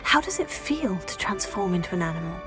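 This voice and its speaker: An actress playing a level-headed, curious teenage witch heroine with a measured, polite manner in a video game. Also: fairly low pitch, big pitch swings. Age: young adult. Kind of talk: scripted video game dialogue. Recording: music under the voice.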